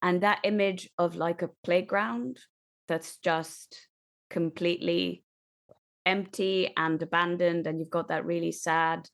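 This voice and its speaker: A woman with a fairly low voice and plenty of vocal fry takes part in a tabletop roleplaying game. She talks through ideas in a measured, reflective way. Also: fairly even intonation, a bit bright. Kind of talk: thoughtful conversational game talk.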